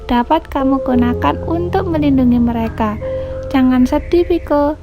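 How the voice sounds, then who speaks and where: A woman's voice: high-pitched, normal speed, dull audio, music in the background. A woman voicing a kind, wise female swan in a children's story, sweet, earnest and encouraging.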